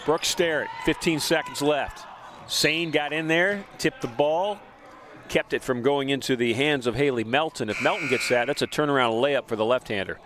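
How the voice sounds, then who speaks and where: A man giving brisk, informative play-by-play commentary on a college basketball game. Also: medium pitch, background noise. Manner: brisk, informative, names players quickly. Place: college gym broadcast booth.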